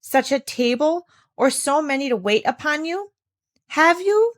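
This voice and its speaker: A haughty, exasperated housekeeper lady from a children's storybook, acted out by a woman reader. She scolds in scandalized exclamations, her pitch pushed high. The recording is dry and close. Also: lively intonation, unhurried, no room sound. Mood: exasperated scolding. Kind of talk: children's storybook character reading.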